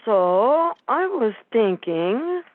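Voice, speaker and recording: A woman playing a pompous, neurotic psychiatrist in a comic radio play, with a measured clinical delivery and tinny, phone-like sound. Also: a little high, very animated, no echo, full character voice. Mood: hesitant, neurotic.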